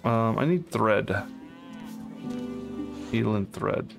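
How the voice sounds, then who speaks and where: A man with a low, gravelly voice hosts a co-op game session. He talks casually and thinks out loud, amused by the game. Faint music plays behind him. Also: lively intonation, slow. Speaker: let's play host. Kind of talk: casual co-op gameplay chat.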